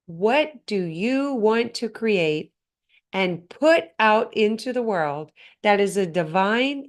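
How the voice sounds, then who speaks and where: A woman hosting a motivational podcast on her own, speaking earnestly and often putting questions straight to the listener, her voice a little hoarse and stuffed up. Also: mid-range voice. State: slightly hoarse, congested. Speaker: podcast host. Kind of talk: motivational solo talk.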